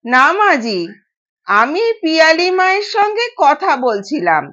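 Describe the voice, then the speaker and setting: A woman's voice: sits high, big pitch swings, measured pace, fairly bright tone, muffled recording, heavily acted. A woman voicing a timid, deferential older male household servant in an audio story, anxious and respectful. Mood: obedient.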